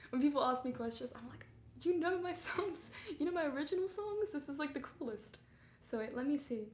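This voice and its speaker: A woman's voice: high-pitched, animated, a little fast, roomy sound, a little hiss or hum. A young woman from England with an English and Canadian mixed accent, chatting casually and giggling on a music livestream, her voice a little under the weather. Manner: chatty, giggly, lots of fillers. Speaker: livestreaming singer-songwriter.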